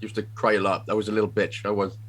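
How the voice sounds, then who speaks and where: A man's voice: on the low side, little pitch movement, very fast. A Welsh man guesting on a podcast, chatting casually, swearing freely and laughing at himself over a remote call. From Wales.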